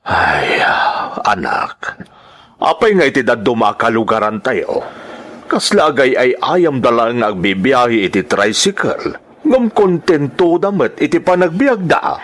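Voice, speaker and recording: An actor playing a stern, exasperated elderly father in a radio drama, scolding with drawn-out emphasis. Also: mid-range voice, sing-song, fairly bright tone. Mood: exasperated, reproachful.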